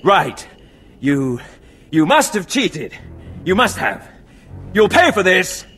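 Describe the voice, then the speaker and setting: A man's voice: high-pitched, sing-song, slow, heavily acted. A man playing an arrogant, easily panicked young Sith acolyte in a video game, with an emphatic, petulant manner. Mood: outraged. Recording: long reverb, loud background music.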